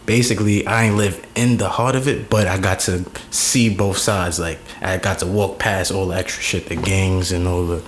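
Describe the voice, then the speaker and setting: A young man with a New York accent, a podcast guest telling stories in a relaxed, slangy way. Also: sits low, slight background noise. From Staten Island, New York. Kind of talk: casual interview chat.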